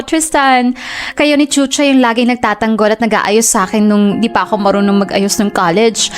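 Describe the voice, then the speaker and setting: A woman's voice: on the high side, lightly acted. An actress playing a cheerful young woman who narrates a radio drama, chatty and earnest. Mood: embarrassed, happy.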